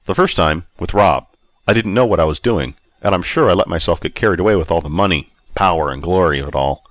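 A man voicing a kindly, encouraging well-off man in an audiobook story, softer and friendly. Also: deep voice, fast, dry recording, natural voice. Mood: reassuring. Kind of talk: acted audiobook dialogue.

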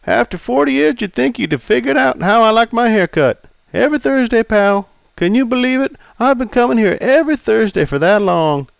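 A man's voice: extremely high pitch, expressive, brisk, creaky, smooth, dry recording, voice pushed up, exaggerated voice. A man voicing a large, smug older male customer who teases in a folksy way. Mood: teasing. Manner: teasing, folksy.

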